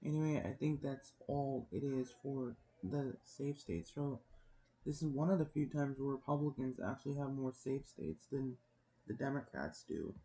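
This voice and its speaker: A young man giving casual election prediction commentary as a video host, in a subdued, hushed voice with a halting delivery. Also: mid-range voice, animated, poor audio. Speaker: YouTube host. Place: at home. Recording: noticeable background noise, home recording.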